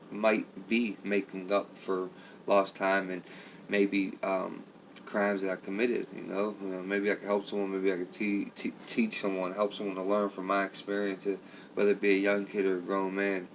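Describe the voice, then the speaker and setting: A middle-aged man with a raspy, low voice rambles to his viewers on a live stream, leaning hard on filler phrases. He sounds tired and worn, and a faint background hum sits under the talk. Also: monotone, moderate pace, rough recording. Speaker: vlogger.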